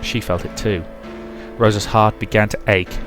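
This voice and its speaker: A man's voice: fairly low pitch, steady tone, moderate pace, over music. A man narrating a visual novel playthrough, reading the story aloud and adding casual commentary, with a rambling way of talking. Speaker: let's player and narrator.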